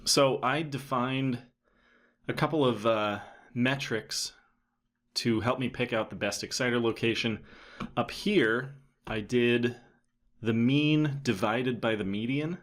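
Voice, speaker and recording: A man in his thirties giving a casual, matter-of-fact software tutorial, thinking aloud as he goes. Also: medium pitch, slow. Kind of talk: casual screen-recorded tutorial narration.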